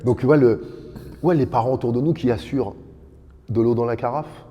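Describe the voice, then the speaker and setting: A man's voice: mid-range voice, brisk, mellow, slightly rough, muffled recording. Earnest middle-aged man in echoing hall. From born in Dakar, raised in France. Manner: earnest, builds long lists with rising emphasis. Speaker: podcast guest, kickboxing champion. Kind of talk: reflective storytelling conversation.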